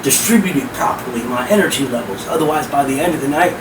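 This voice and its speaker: A middle-aged man with a hoarse, powerful voice, telling stories emphatically as a podcast guest. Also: mid-range voice, fast talker. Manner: animated, emphatic, profane. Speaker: guest, rap host and promoter. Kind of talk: casual podcast storytelling. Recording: roomy, distant mic with air-conditioning hum.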